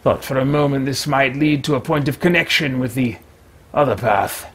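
A man's voice: medium pitch, normal speed, slight background noise, natural voice. A man in his thirties roleplaying a formal, meticulous, irritable wizard adventurer in a video game, with stilted theatrical speech. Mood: musing, deliberate. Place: small room at home with shelves.

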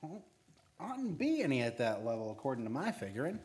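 An actor playing a weary, easygoing field geologist, casual and musing, in an old-time radio drama. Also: male voice, mid-range voice, huge pitch swings, brisk, darkish tone. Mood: puzzled, musing.

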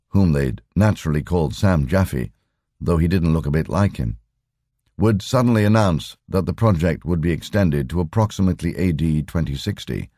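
A man reading a short story as an audiobook narrator, with dramatic, expressive delivery. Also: deep voice, dry recording, studio quality.

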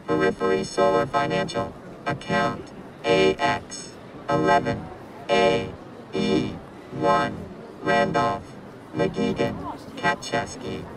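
A woman's voice: deep voice, expressive, takes it slowly, pauses often, music in the background, low-quality recording. A synthetic woman's voice playing an impersonal computer that gives an automated readout. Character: impersonal female computer reading account details.

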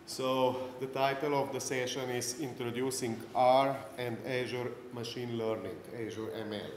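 A man's voice: medium pitch, fairly even intonation, a little slow. A middle-aged man from Slovenia with a Slovenian accent, giving a technical lecture at a conference as a non-native speaker. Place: indoor conference breakout room. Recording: roomy echoey hall, clear background noise.

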